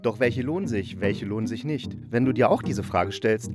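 A man's voice: lowish voice, expressive, moderate pace, music in the background. A man hosting a podcast, reviewing in a casual, chatty way and breaking into his own sentences with asides. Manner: chatty, self-interrupting asides. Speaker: podcast host reviewing audio dramas.

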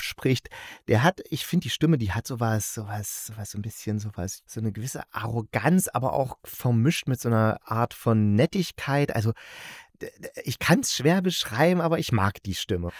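A man's voice: medium pitch, dry recording, clean studio sound. A man hosting a podcast, chatting casually through a review, often searching for words and correcting himself, with a playful streak. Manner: playful, jokey. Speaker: podcast host reviewing radio plays.

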